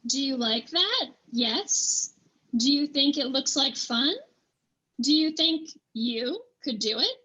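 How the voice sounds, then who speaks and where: Woman doing a brash burlesque emcee, high bright voice, teasing the crowd, table read. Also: expressive, slow, muffled recording, heavily acted. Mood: teasing, rousing.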